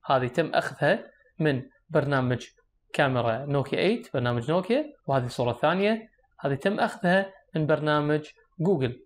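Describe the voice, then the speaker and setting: A young man with a Kuwaiti Arabic accent, reviewing a phone and explaining its features straight to viewers, seated indoors close to the camera. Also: on the high side. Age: young adult. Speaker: tech reviewer. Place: small indoor room, seated at a desk.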